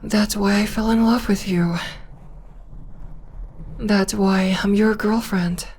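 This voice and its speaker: A young woman voicing a gentle, devoted witch girlfriend in an ASMR comfort roleplay, speaking softly and often in a whisper. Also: medium pitch, fairly even intonation, takes it slowly, a little hiss or hum. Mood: tender, soothing. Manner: soft, soothing, often whispering. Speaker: voice actor.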